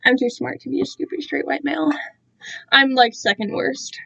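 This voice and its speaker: A woman's voice: high voice, moderate pace, gravelly, sharp tone, husky, dull audio. A young woman livestreamer chatting casually, digressive and slangy, with self-deprecating asides. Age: young adult. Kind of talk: casual rambling chat to livestream viewers. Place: alone in own room.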